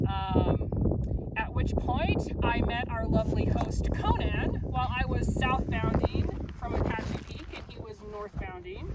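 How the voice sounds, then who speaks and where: A woman in her forties chats about her hike, her voice lively and swooping. She fills her pauses with ums. She stands back from the mic as loud wind rushes past outdoors. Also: fairly high pitch, distant mic. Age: 40s. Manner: animated, chatty, frequent ums. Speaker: long-distance hiker and teacher. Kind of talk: casual chat. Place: outdoors on a hiking trail.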